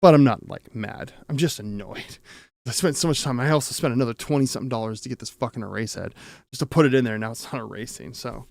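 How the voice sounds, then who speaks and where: Male streamer, mid-pitched with plenty of vocal fry; rambling, sweary, off-the-cuff repair chat. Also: quick pace, darkish tone, no echo. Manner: rambling, self-interrupting, swears casually; thinks aloud. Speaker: streamer and audio tinkerer. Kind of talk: casual livestream chat while repairing gear.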